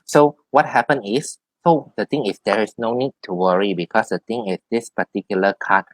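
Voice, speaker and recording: Low-voiced man giving halting spiritual advice. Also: no echo, rough recording. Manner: halting, repetitive explaining. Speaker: tarot card reader. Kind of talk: spiritual advice in a one-on-one reading.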